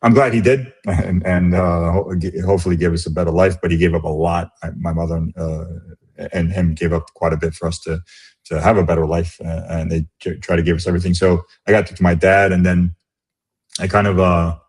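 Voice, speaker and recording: A middle-aged man, born in Romania and raised in the US, speaking as an interview guest in a reflective, halting way with many restarts. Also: low-pitched, little pitch movement, darkish tone, breathy edge. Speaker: guest, business executive. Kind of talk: casual interview conversation.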